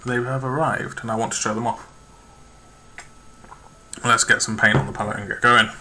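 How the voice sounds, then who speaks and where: A man's voice: medium pitch, fairly even intonation, moderate pace, background noise. A man live-streaming his miniature painting at a home desk, muttering to himself and chatting to viewers. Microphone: webcam perched on a lamp.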